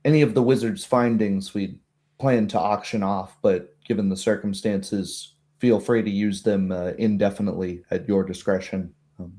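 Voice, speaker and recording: A man's voice: on the low side, steady tone, normal speed, mellow, natural voice. A man voicing a dignified baroness in a tabletop fantasy game, formal and hesitant. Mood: measured, reserved. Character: noble baroness, woman, measured, dignified, reluctant adviser.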